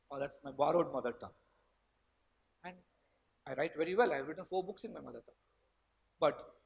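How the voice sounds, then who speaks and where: A middle-aged Indian man lectures from the stage of a large hall, his voice pitched high and bright. He speaks with passion and swings between loud emphasis and quiet asides. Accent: Indian English, Karnataka. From India, from Karnataka. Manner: passionate, emphatic, rises and falls in loudness, gestures while speaking. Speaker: guest lecturer, professor. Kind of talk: institute lecture to students.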